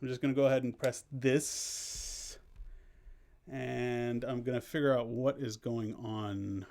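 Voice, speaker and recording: A man's voice: mid-range voice, steady tone, slow, darkish tone. A man hosting a casual game stream, chatting playfully with viewers and often interrupting himself. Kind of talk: casual live stream chat. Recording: clearly noisy background.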